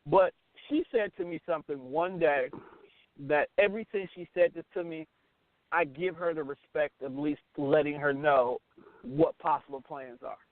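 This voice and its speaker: A man's voice: on the high side, normal speed, dry recording. An American man, middle-aged, talking casually and candidly as a radio show host, blunt and emphatic, often repeating his phrases. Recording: tinny, phone-like band-limited audio.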